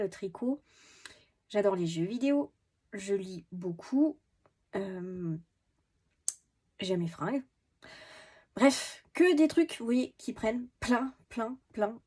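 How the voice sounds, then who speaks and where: A woman's voice: mid-range voice, warm tone, husky. A woman in her thirties with a head cold, sniffling a little, chatting casually to camera for her beauty channel, self-mocking and full of fillers and restarts. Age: 30s. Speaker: beauty vlogger. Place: at home in an apartment.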